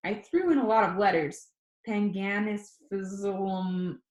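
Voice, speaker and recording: A woman reading a first-person novel aloud as an audiobook, with expressive storytelling delivery. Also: mid-range voice, measured pace, echoey room, poor audio. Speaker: audiobook reader.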